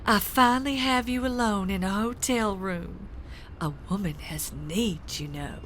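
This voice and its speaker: A woman's voice: medium pitch, a little slow, fairly bright tone, slight background noise, close to an everyday voice. A woman playing an amorous, bored politician's wife in a comedy audio drama, flirtatious and dismissive. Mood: seductive.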